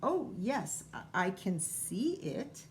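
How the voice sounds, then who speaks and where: A woman's voice: medium pitch, big pitch swings, slow pace, slight background noise. A woman voicing a sleepy, reluctant young male dog pupil in a storybook, hesitant and mildly protesting. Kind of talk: storybook read-aloud character voice.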